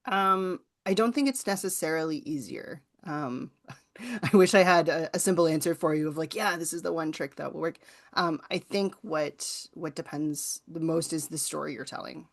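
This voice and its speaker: A woman's voice: low-pitched, moderate pace, gravelly. A woman guest author answering audience questions informally, in a thoughtful, hedging, conversational way. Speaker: guest author and workshop presenter.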